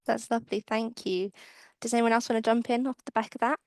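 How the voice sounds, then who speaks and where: A woman's voice: a little high, fairly even intonation, a little fast, no echo, clean studio sound. A woman moderating a webinar panel, politely asking questions and thanking speakers. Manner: polite, encouraging.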